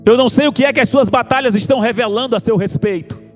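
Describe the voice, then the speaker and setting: Male evangelical preacher, Brazilian accent. Extremely high register, fervent altar-call delivery with rhetorical repetition, musical backing. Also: quick pace. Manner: impassioned, exhorting, rhetorical repetition. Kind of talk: evangelical sermon with altar appeal. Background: music under the preaching.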